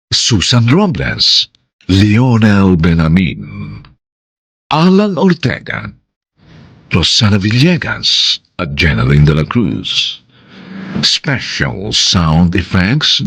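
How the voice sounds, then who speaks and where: Deep, husky, slow dramatic Filipino narrator man. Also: a bit bright, dry recording. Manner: dramatic, deliberate, moralizing. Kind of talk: solemn scripted radio drama narration and credits. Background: faint music.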